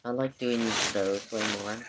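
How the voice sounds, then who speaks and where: A Chilean man, a native Spanish speaker with a creaky voice, chatting casually and haltingly in a rambling, informal livestream style. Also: on the low side, steady tone, heavy background noise. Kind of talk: casual livestream chat while crafting.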